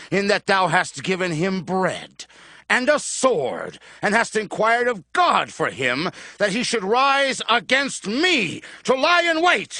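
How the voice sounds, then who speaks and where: Paranoid raging king, high and piercing. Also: bright, forceful tone, dry recording, clean studio sound, full character voice. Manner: declamatory, accusing. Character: paranoid, wrathful king accusing his servants. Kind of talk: dramatized audio Bible acting.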